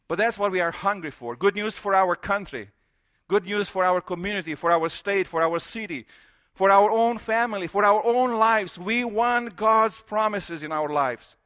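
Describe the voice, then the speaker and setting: High, forceful preacher. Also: male voice. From Romania. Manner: emphatic, building with repeated phrases and rhetorical questions. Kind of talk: sermon.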